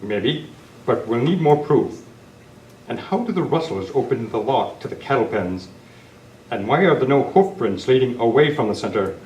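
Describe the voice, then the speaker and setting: An upright masked western hero, read by a man in old-time radio style. His mid-pitched delivery is formal and earnest. It sounds distant in an echoey room with faint noise. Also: expressive, normal speed, a little mellow, lightly acted. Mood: deliberating. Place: club meeting room, live presentation.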